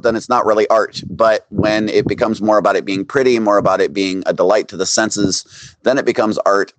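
A man with a fairly low voice talks casually as a podcast guest. He explains with plain examples, and the recording is very dry. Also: no room sound, poor audio. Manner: explains through everyday examples.

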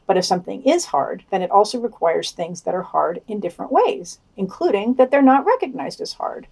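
A woman's voice: mid-range voice, big pitch swings, fairly quick, creaky, darkish tone, breathy edge. A woman co-hosting a podcast, reading scripted news commentary and quotations with occasional wry asides. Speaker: podcast co-host.

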